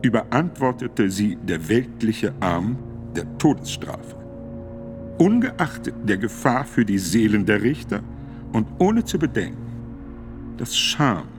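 An actor playing a solemn, authoritative medieval pope who formally declaims a decree in a measured, emphatic way for a radio play. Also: male voice, lowish voice, big pitch swings, full character voice. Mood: grave, measured. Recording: studio radio drama with music behind.

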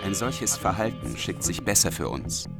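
An actor playing a courteous, conciliatory noble knight, composed and diplomatic, in a German radio drama. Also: male voice, low voice, animated, normal speed, creaky, loud music behind the voice. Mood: placating.

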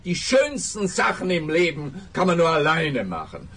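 A man's voice: fairly high pitch, huge pitch swings, normal speed, fairly bright tone. A man playing a pompous, dim-witted, grumbling police officer in a radio comedy, blustering and self-important.

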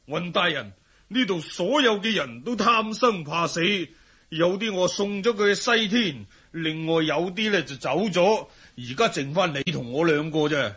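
高音激動嘅江湖漢子. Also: male voice, high-pitched, animated, unhurried, a bit bright, breathy edge, full character voice. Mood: scornful, triumphant. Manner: exclamatory, emphatic, theatrical. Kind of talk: Cantonese radio drama acting.

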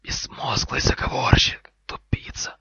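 A man voicing an angry, haughty snake in a hissing whisper, sharp and commanding in an audiobook style. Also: unhurried, no echo, dull audio. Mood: furious, insulting.

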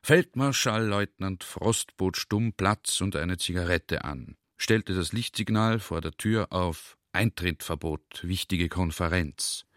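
A man narrates literary prose in a radio drama. His voice is very deep and it is recorded dry and close in a studio. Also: takes it slowly, few pauses, a bit bright, dry recording. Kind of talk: literary narration with character dialogue in a radio play.

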